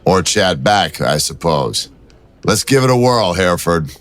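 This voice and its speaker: A man playing a wry, low-voiced detective. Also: very animated, a little slow, a bit bright, dry recording, full character voice. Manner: dry, teasing banter. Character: wry, persistent male city detective.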